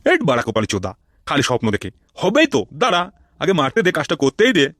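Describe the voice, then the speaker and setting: A man's voice: fairly high pitch, wildly swooping pitch, fast, a bit bright, no echo, studio quality. A man voicing a scheming, coarse, blustering gangster in a comedy cartoon. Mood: boastful, impatient. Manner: blustering, coarse, swearing constantly. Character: scheming, foul-mouthed male gangster and political rival in comedy cartoon. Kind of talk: Bengali comedy cartoon dubbing.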